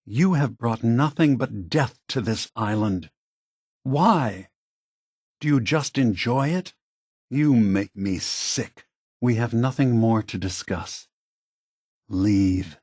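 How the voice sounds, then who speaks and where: A man playing a weary, grave synth leader in a video game, speaking deliberately and solemnly with a husky voice. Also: medium pitch, darkish tone, dry recording, clean studio sound. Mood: bitter anger. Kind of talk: scripted video game voice acting.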